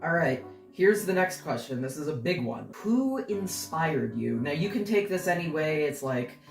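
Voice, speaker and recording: Casual female interview host, mid pitch, echoey room with music underneath. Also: very animated, normal speed, recorded from a distance. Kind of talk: casual on-camera interview chat. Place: indoor room, sharing the frame with guest. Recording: roomy, off-mic, music underneath. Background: guitar music.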